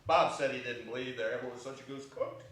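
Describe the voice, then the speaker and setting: A man's voice: mid-range voice, fairly even intonation, quick pace, a bit bright. A man reading a story aloud on stage with theatrical, exclamatory narration and big swings in volume. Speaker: reader in a staged dramatic reading. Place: indoor performance hall. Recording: echoey hall from far off.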